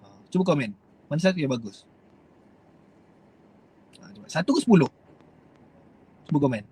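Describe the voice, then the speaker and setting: A man's voice: a little high, very animated, moderate pace, dark tone, husky, low-quality recording. A young Malaysian man with a Malaysian Malay accent, giving a rambling, hesitant motivational talk to his viewers. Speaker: host.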